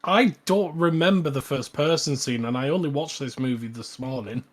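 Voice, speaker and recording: A man's voice: mid-range voice, normal speed. A man chatting casually as a podcast panellist, giving opinions in an informal discussion.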